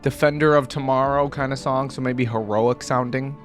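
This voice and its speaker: A man's voice: mid-range voice, steady tone, moderate pace, soft background music. Casual male reaction host thinking aloud. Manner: conversational, thinking aloud, hedging his opinions.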